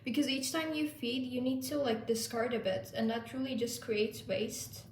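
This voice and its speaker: A woman's voice: on the high side, steady tone, normal speed, roomy sound, distant mic, a little hiss or hum. A young woman, chatting casually in her home kitchen as she bakes, with many fillers. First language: Turkish. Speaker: vlogger. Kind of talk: casual baking vlog narration.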